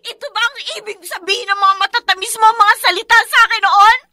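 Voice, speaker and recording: An actress playing a young, pleading and remorseful wife with a Filipino accent in a radio melodrama. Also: female voice, very high-pitched, steady tone, fast, sharp tone, dry recording, heavily acted. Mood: hurt, confronting. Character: tearful young pregnant wife, remorseful daughter, pleading and hurt.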